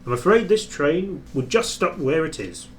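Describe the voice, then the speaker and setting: Man as railway guard, slightly raised pitch, firm, stern, polite, storybook character. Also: very animated, moderate pace, slight background noise.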